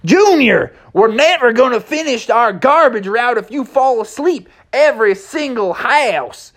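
Storyteller putting on a drawly old cowboy horse, bossy and hearty, voice pushed up higher, yells yeehaw and stretches his words slow. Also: expressive.

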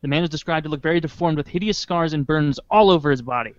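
A man co-hosting a comedy podcast, reading out questions and joking in a dry, deadpan way. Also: on the high side, quick pace, no echo, lo-fi sound. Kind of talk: casual comedic chat.

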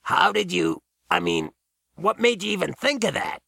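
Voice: mid-range voice, huge pitch swings, normal speed, gravelly, pushed and bright, no echo, studio quality, pitched above the performer's own voice, full character voice. A storybook narrator voicing an earnest male diner owner who is blunt, questioning and easily astonished. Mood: incredulous.

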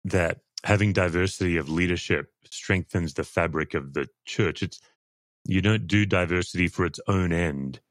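Male interview host, very low deep voice, loose rambling chat, dry close sound. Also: normal speed, no echo. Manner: rambling, self-deprecating, frequent restarts. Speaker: podcast host.

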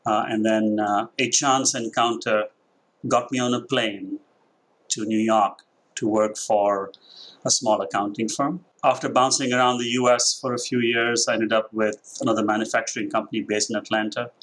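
Indian-accented man, husky low voice. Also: fairly even intonation, a bit bright, rough recording. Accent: Indian, with a mix of international influences. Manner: measured, thoughtful, with frequent uh fillers and restarts. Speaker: company division president. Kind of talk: corporate podcast interview.

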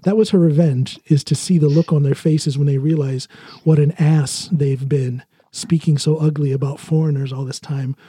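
A man's voice: a little high, steady tone, moderate pace, warm tone, slightly rough, no echo. An American man hosting a podcast, talking casually and telling personal stories. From United States. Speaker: podcast host. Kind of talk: casual conversation, personal storytelling.

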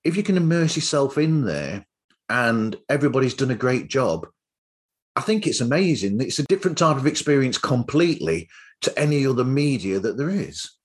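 A man's voice: mid-range voice, very animated, moderate pace, slightly rough. A man hosting a casual interview, rambling a little as he circles back through his thoughts. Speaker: podcast host.

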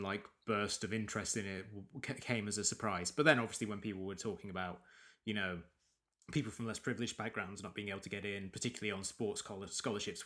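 A man's voice: on the low side, fairly bright tone. A man hosting a casual film podcast, a little sniffly from allergies, rambling with many restarts. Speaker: podcast host.

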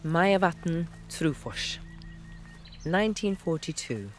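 A man's voice: a little high, lively intonation, slow pace. Male narrator over prominent music. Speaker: narrator and announcer. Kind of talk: scripted documentary narration.

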